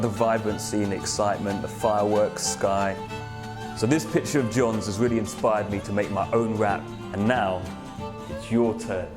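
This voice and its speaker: A man, a writer and performer, chatting casually in an interview. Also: lowish voice. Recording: loud plucked guitar music behind.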